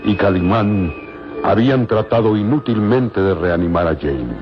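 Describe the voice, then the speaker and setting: An actor as a solemn storyteller, narrating a radio adventure serial in a grave, measured way with a Mexican accent. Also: male voice, lowish voice, expressive. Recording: muffled old radio recording with music.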